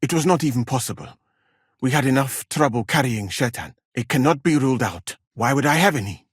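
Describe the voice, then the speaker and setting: An actor playing a proud, learned Malian physician in a video game, speaking formally and politely with a husky voice. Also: male voice, medium pitch, lively intonation, no room sound, clean studio sound. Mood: firm, defensive. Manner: formal, measured, uncontracted speech with wry politeness. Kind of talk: video game character dialogue.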